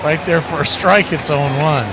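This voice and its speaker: Man, higher-pitched voice, live baseball commentary, conversational, wry, noisy outdoor background. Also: normal speed. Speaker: play-by-play radio announcer.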